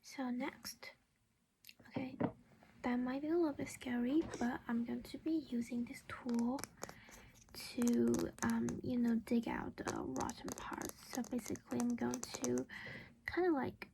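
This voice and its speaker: A woman whispering in a gentle, reassuring, halting way, in an ASMR roleplay as a caring vampire mother. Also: on the high side, steady tone, background noise. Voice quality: breathy whisper. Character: caring vampire mother doing a dental checkup.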